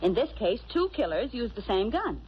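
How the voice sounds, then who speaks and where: An actress playing a brisk, wry, loyal detective's assistant, in 1940s radio drama style. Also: female voice, a little high, very animated, breathy edge. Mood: deliberate, triumphant. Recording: noisy, muffled old radio recording.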